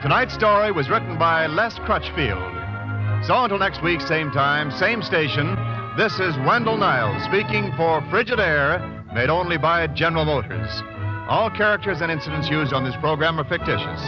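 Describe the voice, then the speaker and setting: A man's voice: a little high, expressive, moderate pace. A male radio announcer reading scripted announcements in 1940s broadcast style. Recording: muffled old radio broadcast, loud music.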